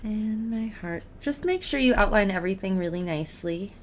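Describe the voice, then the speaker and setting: Female art teacher, casual and encouraging, mid-pitched voice, narrating each drawing step without rush. Noticeable background noise. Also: expressive. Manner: encouraging, unhurried, talking through each step while drawing.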